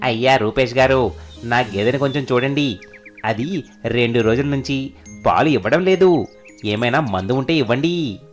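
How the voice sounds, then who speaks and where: A man's voice: medium pitch, normal speed, long pauses, close to an everyday voice. A man voicing a blunt, scornful village farmer in a cartoon folk story. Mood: worried, asking. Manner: mocking, blunt. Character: indignant, scornful village buffalo owner. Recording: faint music behind.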